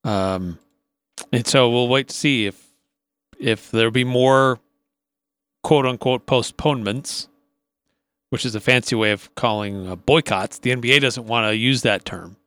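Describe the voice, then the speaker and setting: A man's voice: mid-range voice, a little slow, long pauses, fairly bright tone, clean tone, no echo. A man with an American accent co-hosting a sports talk radio show, giving analysis and chat.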